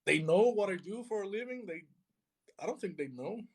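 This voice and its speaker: A young man from Puerto Rico talking casually on a live stream, laid-back and halting with lots of fillers. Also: high voice, animated, husky. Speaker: podcast co-host.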